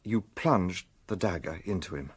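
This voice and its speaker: An actor playing an earnest, romantic gentleman who narrates and acts as a detective's companion in a radio drama. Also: low-pitched, normal speed, husky, no echo. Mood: earnest, tender. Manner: earnest, expressive.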